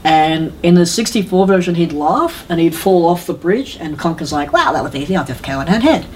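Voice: medium pitch, expressive, moderate pace, a little mellow, a little hiss or hum. A podcast co-host in casual, rambling chat about games, often retelling things and acting out quotes. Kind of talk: casual chat about video game movies.

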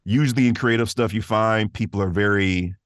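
Deep-voiced man chatting casually. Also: fairly quick, no echo, studio quality. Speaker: voice actor, podcast guest. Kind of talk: casual conversational interview. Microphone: close studio microphone in a vocal booth.

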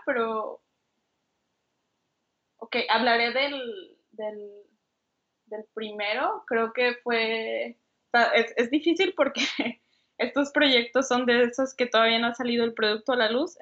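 A woman's voice: a little high, fairly bright tone, highs cut off. A young Mexican woman, a designer, answering interview questions casually with many fillers and restarts. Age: young adult. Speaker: designer, guest.

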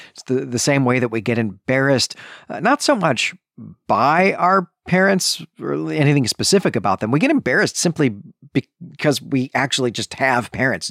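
A man's voice: medium pitch, no room sound, clean studio sound. A man hosting a podcast, talking through a book in a conversational, emphatic and thoughtful monologue. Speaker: podcast host.

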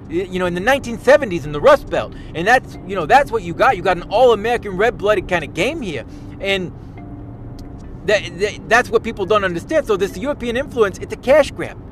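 A man's voice: high voice, slight background noise. A man playing a pompous, self-important tabletop gaming expert who lectures in a rambling way, stumbling over restarts and repeating himself. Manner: boastful and lecturing. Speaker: self-styled podcast expert. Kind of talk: parody monologue.

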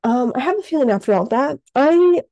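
A young woman co-hosting a podcast while sick, her voice congested and stuffed up. Her tone is mellow and soft-edged, recorded close in a dry room. She rambles in a casual chatty way, leaning on "like" constantly. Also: high voice, lively intonation, brisk, no echo, lo-fi sound. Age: young adult. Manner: chatty, lots of "like" fillers. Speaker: podcast co-host. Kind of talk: casual chat.

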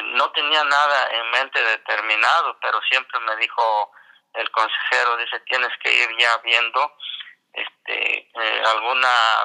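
Older guy on a radio call-in, raspy bright voice, lots of um and restarts, second-language spanish. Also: medium pitch, poor audio. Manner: hesitant, many restarts. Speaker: interview guest, graduate. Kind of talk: radio interview, personal story.

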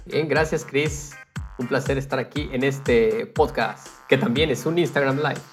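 Un hombre invitado a un podcast que conversa de manera informal. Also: male voice, mid-range voice, steady tone, measured pace, soft background music. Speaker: podcast guest, podcaster with radio experience. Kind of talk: casual conversation.

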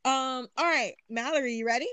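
A woman's voice: sits high, a little fast, pushed and bright, no echo. A woman co-hosting a casual podcast, asking quiz questions in a teasing, giggly way. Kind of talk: casual chat.

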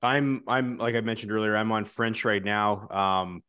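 A man's voice: lowish voice, steady tone, moderate pace, sharp tone, smooth. A man co-hosting a podcast, chatting casually and asking long rambling questions. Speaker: podcast co-host. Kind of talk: casual interview chat.

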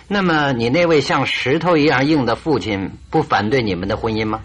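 An actor playing a calm, methodical detective who asks probing, measured questions in a radio drama. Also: male voice, mid-range voice, husky. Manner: inquisitive, measured prompting.

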